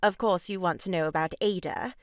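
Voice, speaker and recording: An actress playing a married sister-in-law in a stage farce, brisk, gushing and self-important, with a sharp tongue. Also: female voice, on the low side, no room sound, studio quality, natural voice. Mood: arch, poised. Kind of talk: stage farce audio drama acting.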